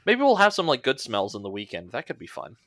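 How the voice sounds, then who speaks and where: A man with a mid-pitched voice co-hosts a casual podcast. He is easygoing and hesitant, with loose phrasing, and sounds close and dry. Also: huge pitch swings, fast talker, a bit bright, dry recording. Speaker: podcast co-host.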